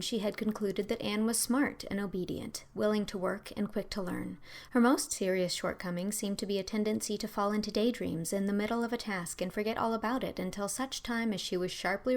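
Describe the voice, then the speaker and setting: A woman's voice: medium pitch, vocal fry. A woman reading scripted storybook narration for an audiobook.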